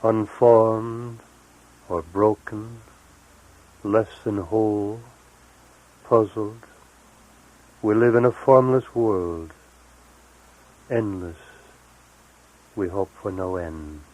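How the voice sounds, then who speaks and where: A man gives a poetry reading in a very deep voice. His pace is very slow, and he sets each phrase apart with deliberate pauses. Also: mellow, low-quality recording. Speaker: poet. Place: live recorded reading, 1978.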